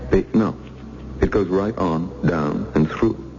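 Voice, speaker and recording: A man playing a suave, menacing villain in old-time radio thriller style, mock-polite and drawn-out, theatrically taunting. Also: low voice. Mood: cold menace. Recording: dull, hissy old radio recording.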